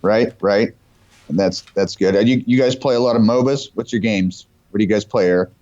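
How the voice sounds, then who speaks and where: Muffled low-voiced man with a cold. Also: brisk, darkish tone, no echo. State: has a cold. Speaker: guest company representative. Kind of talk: casual tech discussion. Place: remote call over the internet. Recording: muffled, dull call audio.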